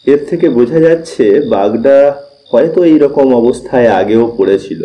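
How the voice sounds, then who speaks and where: A man narrating a scripted suspense story in a measured, deliberate storytelling manner, with pauses. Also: medium pitch, animated, mellow, lo-fi sound. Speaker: audiobook narrator.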